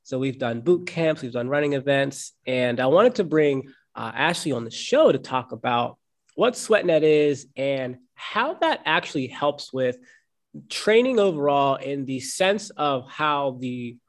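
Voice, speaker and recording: A man's voice: medium pitch, lively intonation, a little slow. A man hosting a fitness podcast interview, recovering from a cold and talking in an upbeat, chatty way with easy laughter. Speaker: podcast host.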